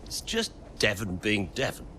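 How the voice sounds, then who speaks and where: An actor playing a wealthy, middle-aged racing team owner in a video game cutscene, deliberate, commanding and smoothly persuasive. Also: male voice, lowish voice, wildly swooping pitch, pushed and bright, slight background noise, full character voice. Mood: placating.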